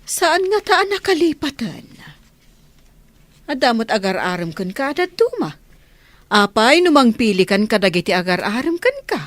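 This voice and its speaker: Worried Ilocano village mother, animated. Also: a little high, moderate pace, dry recording. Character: worried doting village mother of a lovesick daughter. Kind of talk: Ilocano radio drama acting.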